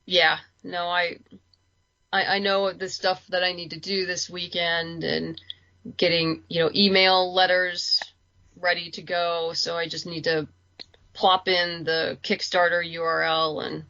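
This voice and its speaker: A woman talks casually as an interview guest, in a chatty, informal way. Her voice is bright and a little low. The sound is dry and somewhat muffled. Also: little pitch movement, a little slow, dry recording. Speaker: interview guest, comic and audio drama creator. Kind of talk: casual podcast chat.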